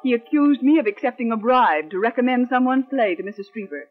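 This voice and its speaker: A woman's voice: fairly high pitch, lively intonation, fast, natural voice. Woman acting a wary radio-drama assistant, muffled vintage recording. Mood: aggrieved. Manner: formal, earnest. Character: principled, wary young woman, a loyal assistant and narrator. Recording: muffled, band-limited old radio recording.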